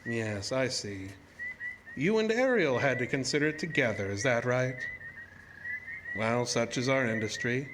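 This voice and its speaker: An actor playing a politely menacing carnival illusionist in a horror audio drama, speaking in a formal, measured, mock-courteous way. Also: male voice, mid-range voice, lively intonation, background noise, lightly acted. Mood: coolly polite. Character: sinister, politely menacing male carnival dark-ride illusionist.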